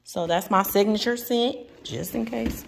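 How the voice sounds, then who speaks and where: A middle-aged woman, chatting casually to viewers in a folksy, playful, expressive way. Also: mid-range voice, a little slow, vocal fry, a little hiss or hum. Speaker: lifestyle vlogger.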